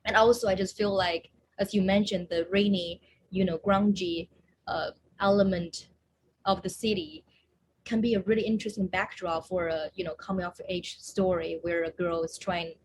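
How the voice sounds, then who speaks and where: A woman's voice: fairly low pitch, fairly even intonation, moderate pace, slight background noise, poor audio. A woman from China, a screenwriter and actress, talking in an upbeat, casual way with frequent "you know". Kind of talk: casual video interview.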